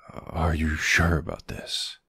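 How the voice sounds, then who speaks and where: A man playing a gruff but tender, protective older man in an intimate ASMR boyfriend roleplay, speaking hesitantly with casual endearments. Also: extremely low pitch, bright, forceful tone, no echo, studio quality, exaggerated voice. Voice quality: gravelly, husky. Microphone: close, dry microphone.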